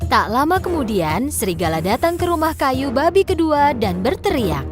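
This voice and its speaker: A woman's voice: high-pitched, sing-song, moderate pace, music in the background. A woman narrating a scripted children's story as the storyteller.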